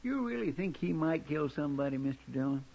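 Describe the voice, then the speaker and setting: An actor playing a polite, soft-hearted, folksy frontier deputy in an old-time radio western. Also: male voice, on the high side, lively intonation, fast, gravelly. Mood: worried. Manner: deferential, folksy. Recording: muffled, noisy old radio recording.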